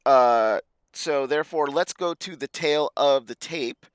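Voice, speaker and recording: Male esports commentator, slightly raspy, halting with fillers, dry close mic. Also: medium pitch, no room sound. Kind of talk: esports match preview commentary.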